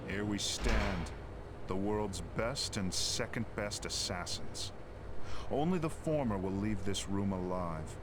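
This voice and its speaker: Deep, grandiose rival assassin. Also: male voice, heavy background noise. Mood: haughty challenge. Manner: formal, grandiose, deliberate. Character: theatrical, formal, arrogant male rival assassin.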